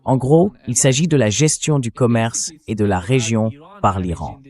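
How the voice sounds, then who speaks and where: A man reading a translated political interview as a voice-over, in a measured, explanatory way. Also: medium pitch, a little mellow.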